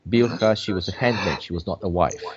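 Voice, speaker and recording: A man's voice: deep voice, a little fast, a crowd in the background. Man, preachy lecturing tone, rhetorical questions, dull lo-fi recording. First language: Slovenian. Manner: emphatic, preachy, quotes scripture, asks rhetorical questions. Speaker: interview guest, Bible teacher. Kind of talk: lecturing on prophecy. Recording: dull lo-fi audio.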